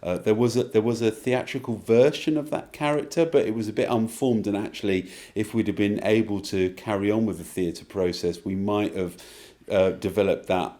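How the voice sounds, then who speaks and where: A man's voice: fairly low pitch, fairly even intonation, normal speed, few pauses, mellow. A man giving a reflective interview answer, thoughtful and hesitant as he circles through rephrasings.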